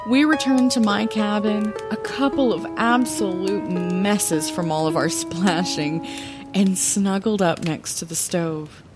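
A woman playing an earnest young female bard, telling her own story in an expressive, lyrical fantasy audio drama narration. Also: medium pitch, slow, music in the background, close to an everyday voice. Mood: nostalgic, tender.

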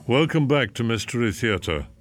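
A man's voice: medium pitch, sing-song, moderate pace. A man hosting a classic radio programme with a scripted introduction. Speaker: radio show host. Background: faint music.

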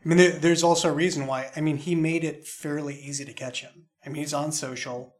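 Male host, fairly high voice, informal and rambling, with a jokey streak. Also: steady tone, fairly quick. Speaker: show creator and host. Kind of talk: casual roundtable chat.

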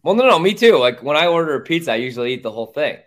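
A man's voice: fairly high pitch, big pitch swings, rapid-fire, fairly bright tone. A man co-hosting a casual sports podcast, talking in a blunt, opinionated way and swearing freely.

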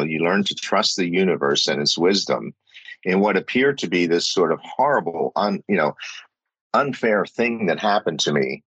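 Man, very low pitch, middle-aged, reflective interview guest, dry close recording. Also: fairly bright tone, breathy edge, no room sound, highs cut off. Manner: thoughtful, with many fillers and restarts. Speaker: guest, former company chief and novelist.

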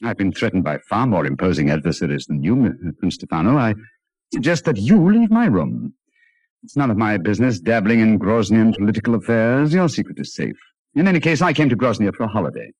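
An actor playing a clever, self-assured British detective in a 1940s radio drama, urbane and theatrical, with a hesitating drawl. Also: male voice, fairly low pitch, big pitch swings, brisk, a little mellow, dry recording, rough recording. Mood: dry, wistful.

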